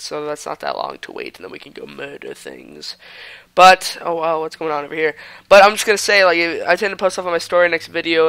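A young man with a voice gone raspy from a cold, rambling casually while commenting on his own gameplay, sometimes muttering or whispering asides. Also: fairly high pitch, sharp tone, no room sound, rough recording. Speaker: gaming channel host.

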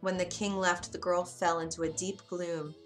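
A woman narrating a children's bedtime story in an expressive, gentle way. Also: fairly low pitch, normal speed. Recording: soft music bed behind.

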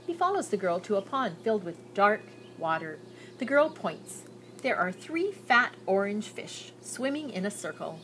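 A woman's voice: medium pitch, big pitch swings, normal speed, a bit bright. An older woman reading a children's picture book aloud expressively, drawing out descriptive phrases with care. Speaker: storybook reader. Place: outdoors on a garden patio. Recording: outdoor recording with background noise.

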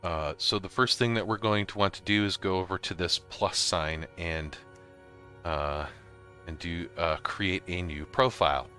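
A man narrating a casual gaming software tutorial, explaining things informally step by step. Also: sits low, fairly even intonation, measured pace, faint music behind. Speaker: host of a gaming tutorial series. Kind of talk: casual software tutorial narration over slides and screen capture.

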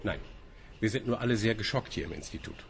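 Senior scientist, low voice, formal, hesitant, evasively polite, radio drama, noisy ambient background. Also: male voice, lively intonation, fast talker, vocal fry. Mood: grave, courteous. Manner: formal, hesitant, repeating himself. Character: measured senior scientist at a marine institute, evasively courteous. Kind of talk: naturalistic German radio play acting.

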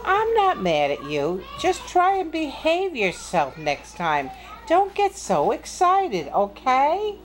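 A woman's voice: high voice, huge pitch swings, slow, a little hiss or hum, voice pushed up, exaggerated voice, low-quality recording. A woman voicing a sweet, polite and plucky little storybook girl in a sing-song, wide-eyed way. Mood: kindly scolding.

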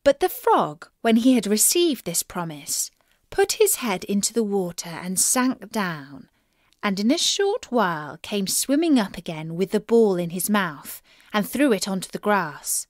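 A woman reading a fairy tale aloud to children in an expressive, deliberate storytelling manner. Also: medium pitch, no echo, clean studio sound.

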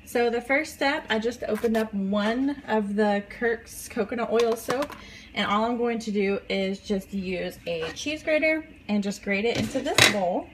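A woman's voice: fairly high pitch, unhurried, background noise. A young woman in her twenties casually demonstrating a recipe in her home kitchen, chatting with many ums while she works. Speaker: vlogger.

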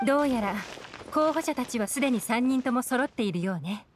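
A woman's voice: fairly high pitch, lively intonation, fairly quick, slight background noise, natural voice. An actress playing a cool, shrewd, assured young woman in an anime video game, poised and matter-of-fact. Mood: businesslike.

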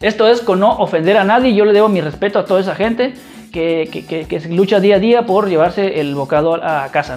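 A man from Mexico with a Mexican accent, chatting casually as a vlogger in an informal, halting, repetitive way. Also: sits high, darkish tone. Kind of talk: casual chat answering viewer questions.

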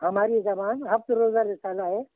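An older man teaching Urdu literature, slowly and patiently dictating references to a student. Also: high-pitched, steady tone, no room sound. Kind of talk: guiding a student over the phone. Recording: tinny phone line.

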